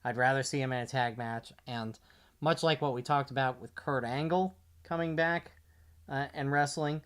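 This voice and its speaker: Man, mid-range voice, podcast co-host, casual sports analysis, measured, point-by-point delivery. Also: mid-range voice, fairly even intonation, a bit bright, clean tone.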